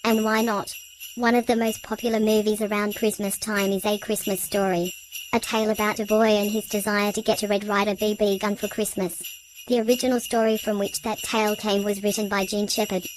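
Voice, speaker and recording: A woman hosts with scripted narration. Her pitch is mid-range and very even. Jingling sounds are clearly heard behind her. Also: brisk, a little hiss or hum, rough recording. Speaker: programme host. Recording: loud jingling bells behind voice.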